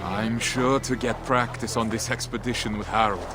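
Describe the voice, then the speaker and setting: An actor playing a proud, earnest Viking trader and husband in a video game cutscene. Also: male voice, mid-range voice, fairly even intonation, normal speed, close to an everyday voice. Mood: easy, wry. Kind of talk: dramatic game cutscene acting. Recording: music behind the voice.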